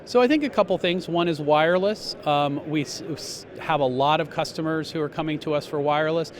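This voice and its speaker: A man giving a measured, technical explanation as a company spokesman in a trade show interview. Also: on the high side, a crowd in the background. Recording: noisy trade show floor.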